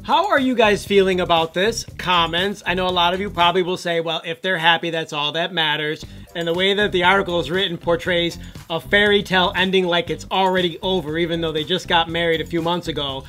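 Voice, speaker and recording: A man's voice: high voice, steady tone, fairly quick, sharp tone, faint music behind. A man co-hosting a casual commentary show, musing aloud and offering his opinions on photos.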